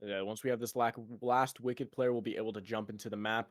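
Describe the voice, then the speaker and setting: A man's voice: fairly low pitch, steady tone, a little fast, no room sound, clean studio sound. A man doing live esports commentary, upbeat and building hype, often repeating a word as he searches for the next. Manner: upbeat, stretches words, repeats himself mid-sentence. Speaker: esports caster.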